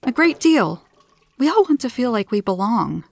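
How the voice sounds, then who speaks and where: A woman's voice: a little high, sing-song, moderate pace, gravelly, no room sound, pitched above the performer's own voice, full character voice. Earnest, candid woman, voiced by a narrator. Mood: candid, upbeat. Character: friendly, candid, chronically ill woman, easily tired. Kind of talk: storybook character voice.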